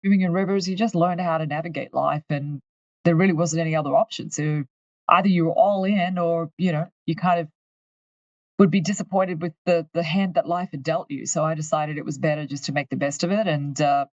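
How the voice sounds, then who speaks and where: An Australian woman from far north Queensland, a finance executive telling her story in a candid, lively interview. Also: deep voice, a little fast, no echo, highs cut off. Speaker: guest, company finance chief. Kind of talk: conversational interview.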